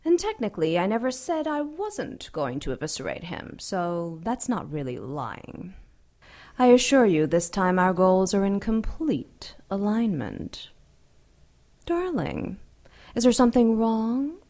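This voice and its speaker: A woman playing a seductive, vain ancient dark angel in an audio drama, teasing and theatrical, savoring her words with amused menace. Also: medium pitch, sing-song, a little slow. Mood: mock innocent.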